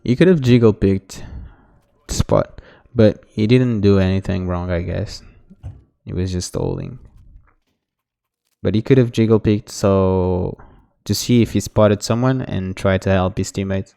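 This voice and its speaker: A man's voice: sits low, animated, unhurried, gravelly, warm tone, clean tone. A man whose first language is Portuguese, coaching players through a recorded match in casual, instructive commentary. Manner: instructive, talks the viewer through mistakes. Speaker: game coach. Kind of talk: casual demo review commentary.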